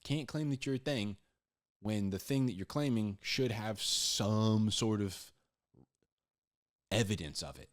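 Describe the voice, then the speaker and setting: A man's voice: fairly low pitch, a little slow, long pauses. A man giving casual, conversational Bible teaching in slangy, informal speech, repeating words for emphasis and acting out little dialogues. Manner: acts out imagined back-and-forth dialogues.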